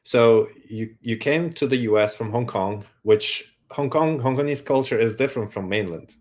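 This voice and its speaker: A man's voice: medium pitch, normal speed, darkish tone. A man hosting a podcast, asking polite, hesitant interview questions. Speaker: podcast host.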